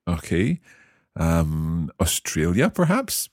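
Scottish male host, low voice with lots of pitch movement, careful repeating, dry close recording. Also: wildly swooping pitch, a little mellow, no room sound. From Scotland. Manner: repeats phrases slowly and carefully. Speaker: podcast language learner host. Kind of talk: language lesson podcast.

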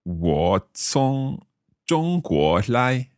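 A Scottish man hosting a language lesson podcast, carefully repeating phrases slowly after his teacher. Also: medium pitch, big pitch swings, no echo, studio quality. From Scotland. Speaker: podcast language learner host.

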